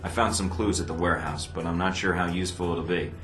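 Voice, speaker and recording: Very deep-voiced wry secret agent. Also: male voice, steady tone, fast talker, fairly bright tone. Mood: focused. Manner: dry, laconic, deflecting. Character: wry, guarded, battle-weary male secret agent. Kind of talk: video game cutscene dialogue. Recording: roomy sound with music behind.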